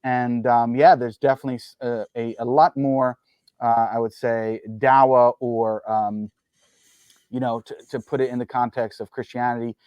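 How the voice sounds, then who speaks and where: A man's voice: mid-range voice, little pitch movement, smooth, dry recording, dull audio. Male guest, New Jersey-raised, mid-range voice, cautious and hedging, dry recording. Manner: measured, qualifying, frequent ums and uhs. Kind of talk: careful conversational explanation.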